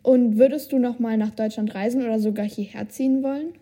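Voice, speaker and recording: A woman's voice: a little high, steady tone, fairly quick, warm tone, husky. A woman hosting a podcast, asking friendly questions and encouraging her guest. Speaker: podcast interviewer. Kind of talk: casual podcast interview.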